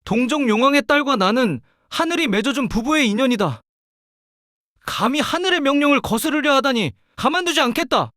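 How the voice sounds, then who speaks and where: A man's voice: very high voice, animated, a little fast, bright, forceful tone, no echo, studio quality, full character voice. A man voicing a fearless young commander. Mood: furious. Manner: commanding, formal.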